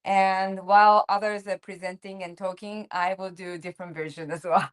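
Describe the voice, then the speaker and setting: A woman's voice: medium pitch, level tone, moderate pace, smooth. A woman from Japan chatting on a video call, hesitant and restarting her sentences. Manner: hesitant, restarts, laughs softly. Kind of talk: casual video call chat.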